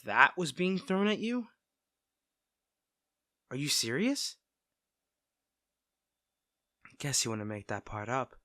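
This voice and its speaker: Expressive, caring male best friend played by an actor. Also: medium pitch, slow, long pauses, dry recording, studio quality, exaggerated voice. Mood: indignant. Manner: fussing, tender, conversational. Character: caring, teasing, protective best friend, secretly in love. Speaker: audio roleplay performer. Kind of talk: soft-spoken scripted roleplay audio drama.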